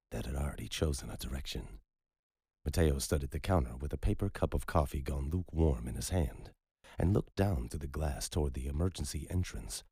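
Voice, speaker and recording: A man narrating a story in a measured, unhurried storytelling manner. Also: a bass voice, a little mellow, husky, no room sound, clean studio sound. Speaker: audiobook narrator. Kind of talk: scripted narration.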